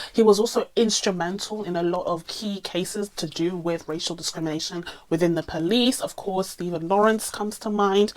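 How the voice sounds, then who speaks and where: A woman vlogger speaks in a slightly low voice that sounds under the weather and strained. She explains things earnestly in a casual review style, repeating words for emphasis. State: sick, voice strained from a cold or illness. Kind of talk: casual spoken review to camera.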